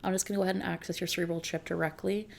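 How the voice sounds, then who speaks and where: Very creaky, soft-spoken woman playing a friendly futuristic technician. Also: on the low side, fairly even intonation. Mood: gentle, reassuring. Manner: gentle, reassuring, casual, unhurried. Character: friendly reassuring female technician at futuristic body-customization service. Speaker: ASMR roleplay performer. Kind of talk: soft-spoken ASMR customer-service roleplay.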